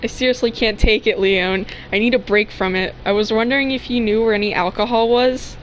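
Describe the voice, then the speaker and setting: A woman's voice: on the high side, steady tone, brisk, slight background noise, close to an everyday voice, poor audio. A woman voicing a weary character in an amateur fan fiction read-aloud. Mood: weary, fed up.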